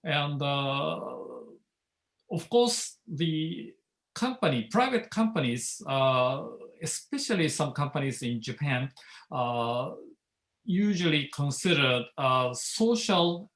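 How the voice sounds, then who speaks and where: A male professor speaking as a panelist in an online discussion, deliberate and hesitating with "uh". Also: on the high side, expressive, a bit bright.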